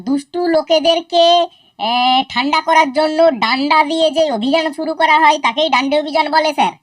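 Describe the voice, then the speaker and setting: Cartoon role: woman plays a lazy, cheeky schoolboy; high-pitched, quick-fire, foul-mouthed. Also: dry recording, clean studio sound, natural voice. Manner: fast, sassy and crude. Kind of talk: cartoon voice acting.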